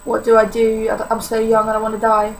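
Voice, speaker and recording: A woman's voice: fairly high pitch, monotone, quick pace, slight background noise, rough recording. An eighteen-year-old woman from Poland with a Polish accent, talking candidly to camera in a personal vlog, with many fillers. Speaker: vlogger.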